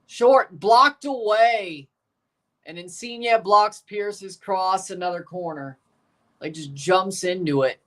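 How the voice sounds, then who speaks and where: A man hosting a livestream watchalong, calling the soccer match like a commentator and throwing dry asides to his chat. His voice is a little hoarse. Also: high voice, takes it slowly, fairly bright tone. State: slightly hoarse. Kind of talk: live match reaction and play-by-play.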